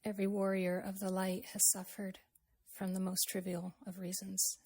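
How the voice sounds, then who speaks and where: Female meditation guide, breathy and husky, even-toned delivery, gentle and unhurried with generous pauses. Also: mid-range voice. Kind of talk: slow guided meditation, with a poem recited.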